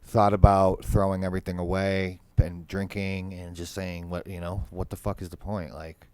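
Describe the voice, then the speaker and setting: A man's voice: deep voice, little pitch movement, moderate pace, a little mellow, clear, resonant tone, no room sound. A young man with a Boston accent, talking casually as a guest musician, swearing freely and filling with "like" and "you know". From Massachusetts. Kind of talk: casual podcast conversation.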